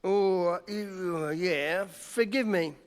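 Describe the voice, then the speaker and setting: An actor playing a boozy, wheedling New York newspaper reporter in a 1940s radio play, halting and coaxing. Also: medium pitch, clean tone, close to an everyday voice. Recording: echoey hall, music behind.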